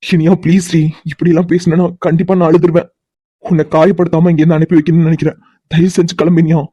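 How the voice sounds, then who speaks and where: A man playing a tender, protective man holding back sadness, speaking gently and reassuringly in an emotional audio drama. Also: on the high side, level tone, fast talker, husky, no echo. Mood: pained, gentle.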